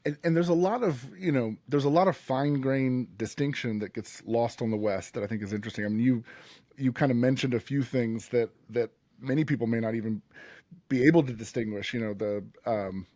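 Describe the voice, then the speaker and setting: A man's voice: medium pitch, brisk, a little mellow, no room sound. A man hosting a podcast, asking long questions while searching for words, with many restarts. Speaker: podcast host. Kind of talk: interview questions.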